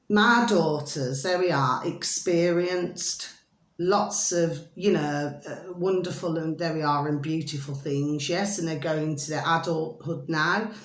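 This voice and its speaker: A middle-aged woman with a notably low voice rambles on to her viewers, drifting from one tangent to the next. She fills her talk with little catchphrases and fond pet names for her audience. The sound is roomy and echoey. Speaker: vlogger. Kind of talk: rambling casual monologue to viewers. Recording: roomy echo.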